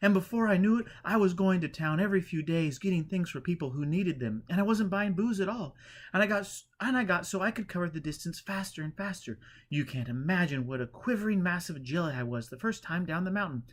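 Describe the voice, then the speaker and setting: An eager, muscular fellow full of joy and gratitude, acted out by a male narrator in a storybook reading. His voice is pushed high and gushes with earnest excitement. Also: fairly quick. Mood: overjoyed, excited. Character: eager, muscular reformed drinker, joyful and grateful man. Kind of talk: audiobook character voice.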